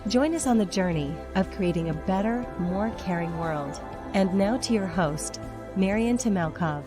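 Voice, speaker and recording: A woman reading a scripted podcast intro in a smooth announcer style. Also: medium pitch, expressive, normal speed. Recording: voice over loud music.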